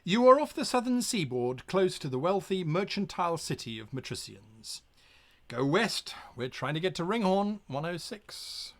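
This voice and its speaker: A man narrating a choose-your-own-adventure as game master on a live stream. His voice sits a bit high and swings widely, with a bright, gravelly edge. He breaks off into playful asides and pirate growls. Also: sing-song, normal speed.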